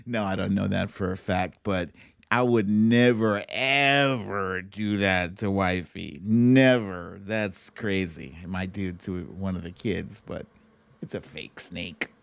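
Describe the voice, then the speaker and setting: A man's voice: deep voice, slow pace, vocal fry, dry recording, studio quality. A man hosting a casual livestream, chatting and reacting to clips in a playful, theatrical way. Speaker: host of a live online show.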